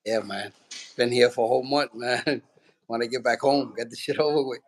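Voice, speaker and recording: A man from Puerto Rico, a boxing trainer, telling his story in a relaxed, rambling way with lots of fillers. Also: mid-range voice, brisk. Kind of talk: casual interview.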